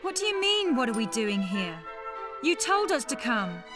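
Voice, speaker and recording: A woman playing a feisty, sharp-tongued young mage in a video game, confident, sassy and direct. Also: fairly high pitch, sing-song, moderate pace, over music. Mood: indignant. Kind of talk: animated video game voice acting.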